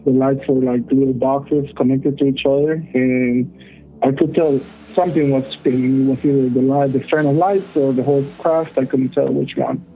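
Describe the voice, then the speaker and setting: A man from El Salvador, calling in to a show, telling a personal story slowly with many fillers and pauses. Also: medium pitch, fairly even intonation, husky. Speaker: caller. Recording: tinny phone line.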